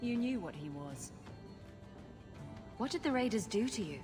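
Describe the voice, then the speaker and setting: A woman playing a sarcastic, quick-witted rogue adventurer with a flippant manner in a video game. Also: a little high, animated, over music, lightly acted. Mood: wry. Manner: wry, flippant. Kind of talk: video game dialogue acting.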